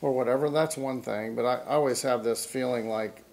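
A middle-aged man with a gravelly, mellow voice talks through golf technique at a studio desk. He reaches for everyday analogies and restarts his sentences often. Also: medium pitch, a little fast. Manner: explains with analogies, many restarts and fillers. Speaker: golf instructor and show host. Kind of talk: casual studio chat. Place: small studio set with a backdrop.

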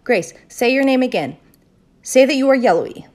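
A woman voicing a loud, bossy, dramatic kindergarten girl in a children's audiobook, exclaiming emphatically and repeating herself. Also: sits high, animated, normal speed, voice pushed up. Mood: excited.

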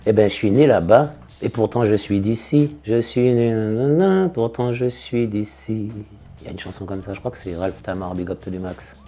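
Laid-back low-voiced man, mellow tone. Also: moderate pace, soft background music. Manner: relaxed, laid-back, with slangy big-ups. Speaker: interviewed singer and DJ. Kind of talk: casual interview answers. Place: studio in front of blue backdrop.

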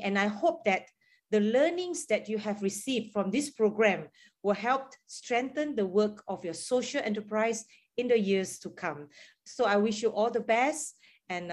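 A middle-aged woman heading a foundation, giving a measured, formal prepared speech over a webcam video call from a room at home. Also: mid-range voice, a little mellow. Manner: measured, reads formally with some restarts.

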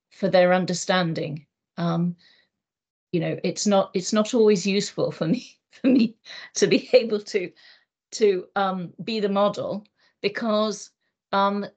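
A middle-aged woman with an English accent, a teacher giving a measured, thoughtful talk on a video call with many ums. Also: mid-range voice, darkish tone. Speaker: EAL teacher. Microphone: laptop mic on a video call.

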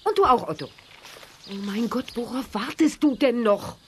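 A domineering, nagging mother in a children's radio play, acted by a woman. Her fairly high voice moves up and down as she scolds and insists. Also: expressive, normal speed, slight background noise, natural voice. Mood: scolding. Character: strict, nagging, domineering mother, easily exasperated.

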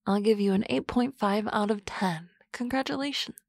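Teasing lady boss whispering close to the mic, woman doing asmr roleplay, husky and a bit gravelly. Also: mid-range voice, moderate pace, no echo. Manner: teasing, playful, soft whispers. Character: teasing confident female office boss.

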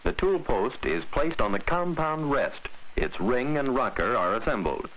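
An American man reading a scripted 1940s instructional film narration in a measured, precise, instructive way. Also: medium pitch, expressive, a bit bright, rough recording. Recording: old 1940s film soundtrack, faint hiss.

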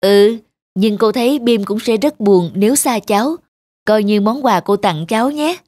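A woman playing a kind, soft-hearted young woman in a cartoon, with a polite, tender manner. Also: mid-range voice, moderate pace, no echo, close to an everyday voice. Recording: music behind the voice.

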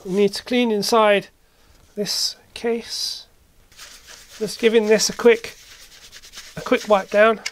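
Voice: mid-range voice, little pitch movement, takes it slowly, long pauses, a little hiss or hum. A person giving casual hands-on repair commentary in a hesitant way, as if thinking aloud. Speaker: repair video maker. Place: indoor electronics workshop.

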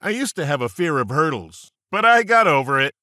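A man's voice: on the high side, big pitch swings, brisk, pushed and bright, no room sound, studio quality. A man narrating an audiobook of jokes, reading page numbers aloud and landing each punchline playfully.

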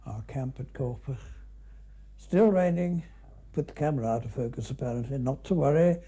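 Older British man, very mellow voice. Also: mid-range voice, moderate pace, husky. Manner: unscripted, conversational, with clipped musing asides. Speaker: canoeist recalling an expedition. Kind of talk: reminiscing film commentary.

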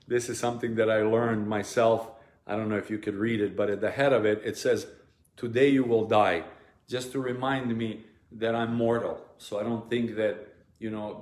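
Serbian-accented priest teaching at home. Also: male voice, medium pitch. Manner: earnest, plain-spoken, frequent ums and restarts. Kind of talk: informal teaching to parishioners. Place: living room at home. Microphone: device camera at close range. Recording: echoey room.

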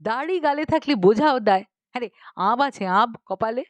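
A woman's voice: a little high, expressive, moderate pace, no room sound, dull audio, lightly acted. A woman voicing an irritable, bossy village uncle who scolds and interrogates, in an audio story. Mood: questioning.

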